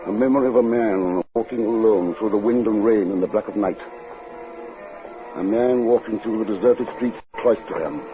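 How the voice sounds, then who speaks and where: Low, ominous actor as a brooding radio narrator. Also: male voice, phone-quality sound, natural voice. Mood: haunted, ominous. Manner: formal, measured, ominous. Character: brooding, sinister man narrating his own dark tale. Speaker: narrator and lead character. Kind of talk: old-time radio drama acting and narration. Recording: band-limited vintage radio recording with music.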